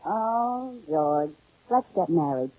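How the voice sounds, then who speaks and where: An actress with an American accent playing a scatterbrained, playful, affectionate housewife in a 1950s-style radio comedy. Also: female voice, lowish voice, expressive, slow pace. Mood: adoring. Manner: coaxing, playful. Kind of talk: 1950s radio sitcom acting. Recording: dull, very noisy vintage radio recording.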